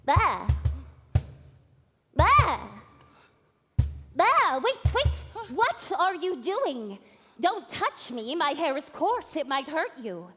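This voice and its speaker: Brief: woman as a blustering goat guardian in a stage play, high and drawn-out, long hall echo. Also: big pitch swings, a bit bright, exaggerated voice. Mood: alarmed. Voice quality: gruff, blustering. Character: gruff boastful mountain goat guardian, male. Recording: stage voice in a reverberant hall with music.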